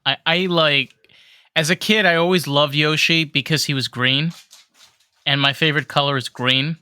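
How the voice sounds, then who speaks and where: A podcast co-host with a fairly deep voice, chatting casually and informally and swearing freely. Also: steady tone, moderate pace, bright, forceful tone, clean tone, no echo, clean studio sound. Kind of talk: casual banter.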